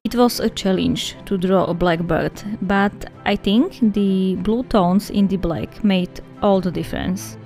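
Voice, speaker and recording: A woman's voice: medium pitch, unhurried, few pauses, mellow. This is a woman with a Slovak accent whose first language is Slovak, talking casually to her viewers as she walks through her art. Her voice sits in a middle register, and she chats in an easy, friendly way. Faint background music plays under her voice. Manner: friendly, chatty, addresses viewers as guys. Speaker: art vlogger. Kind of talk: casual sketchbook flip-through narration.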